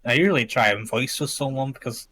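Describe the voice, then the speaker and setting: A young man with a Liverpool accent talks in an easy, informal way. His pitch is average for a man, and his speech is full of gamer slang, like someone chatting on a stream. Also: fast, pushed and bright, a little hiss or hum. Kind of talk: casual chat.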